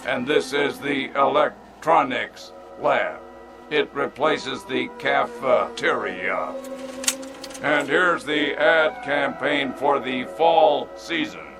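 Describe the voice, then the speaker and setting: An actor playing a cool, self-assured robot executive in a radio drama, speaking in a measured, formal, precise manner. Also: male voice, medium pitch, natural voice. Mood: coolly pleased. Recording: radio drama with music underneath.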